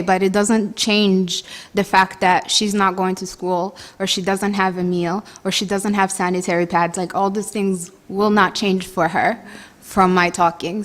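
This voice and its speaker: A young woman from Congo with a Congolese accent that leans American, speaking earnestly to an audience as a nonprofit co-founder, often restarting her phrases. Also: medium pitch. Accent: Congolese, shifting toward American. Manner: earnest, many restarts and fillers. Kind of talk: personal speech to an audience.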